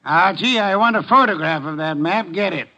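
An actor playing a grumpy, brainy private detective with pompous, precise diction in a 1950s radio drama. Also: male voice, sits high, moderate pace, pushed and bright. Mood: imperious, measured. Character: imperious, grumpy, cerebral male private detective. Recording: muffled vintage 1950 radio recording.

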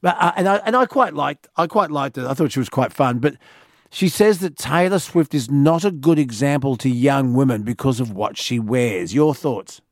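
A middle-aged man hosting a talk radio show, gruff and teasing. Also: mid-range voice, expressive, moderate pace, no room sound, clean studio sound. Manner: gruff, teasing, self-mocking. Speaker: radio host.

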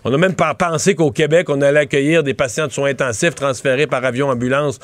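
A man's voice: mid-range voice, steady tone, quick pace, dry recording. A middle-aged man with a Québécois French accent, giving rambling political analysis in a casual chat and often restarting his sentences. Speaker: political commentator.

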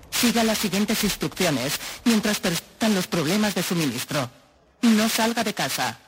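An actor playing an official government announcer, giving measured emergency instructions through an old radio filter in an audio drama. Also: male voice, high-pitched. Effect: old radio broadcast filter. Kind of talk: formal scripted emergency radio broadcast. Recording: noisy, crackling medium-wave radio broadcast.

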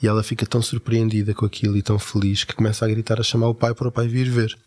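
A man's voice: fairly low pitch, monotone, rapid-fire, darkish tone. A man with a European Portuguese accent casually retelling a story and acting out quoted lines.

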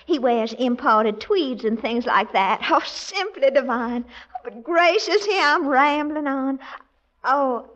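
A woman's voice: sits high, animated, slow pace, fairly bright tone, heavily acted. A woman playing a gushing, flirtatious widow with a Southern American accent, in 1940s radio comedy style. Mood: gushing, swooning. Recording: dull vintage 1940s radio recording.

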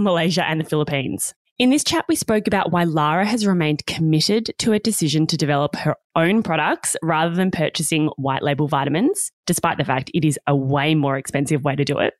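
Host, woman, deep for her sex, steady and polished, dry close mic. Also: no room sound. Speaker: podcast host. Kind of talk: scripted intro then interview questions.

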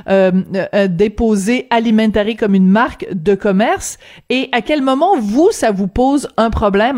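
A woman's voice: on the high side, darkish tone. A woman hosting a conversational interview, putting questions and summing up for her guest. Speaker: interviewer, radio host.

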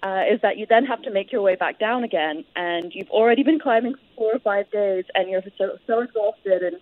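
A woman's voice: mid-range voice, animated, brisk, no echo, phone-quality sound. A woman giving detailed, reflective answers as a guest author in a conversational interview.

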